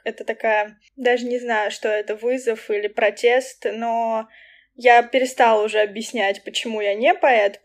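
Chatty young woman, lively storyteller. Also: fairly high pitch. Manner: chatty, animated storytelling with quick asides. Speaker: poet and language teacher. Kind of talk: casual podcast conversation.

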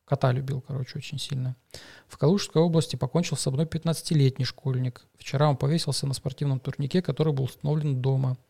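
A man's voice: medium pitch, steady tone, quick pace, gravelly, mellow. A man, a father of a young schoolgirl, co-hosting a casual astrology podcast, reading news and talking with hesitant fillers and restarts.

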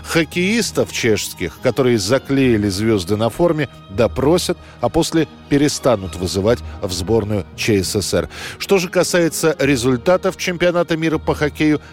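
A man's voice: medium pitch, animated, normal speed. Male narrator over faint music. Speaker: narrator of a history programme. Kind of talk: scripted documentary narration. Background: music bed.

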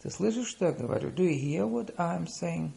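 A man reads out short phrase pairs for a language lesson, his English slow and carefully spaced after brisker Russian. His voice sits a little high and swings widely in pitch. A gravelly, creaky edge runs through it. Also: expressive, warm tone. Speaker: language teacher. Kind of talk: reading lesson phrases with translations.

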